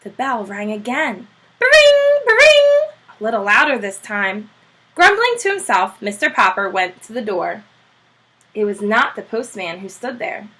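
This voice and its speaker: Lively, bright-voiced woman reading a storybook. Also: mid-range voice, unhurried, rough recording. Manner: expressive, animated storytelling. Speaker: teacher. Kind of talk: reading a storybook aloud to children.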